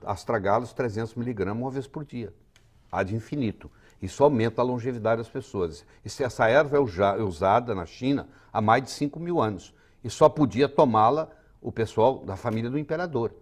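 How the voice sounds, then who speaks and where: Husky older Brazilian man explaining. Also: mid-range voice, a little fast. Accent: Brazilian Portuguese. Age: 60s. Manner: confident, lecturing explanations. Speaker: physician guest. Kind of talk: TV interview.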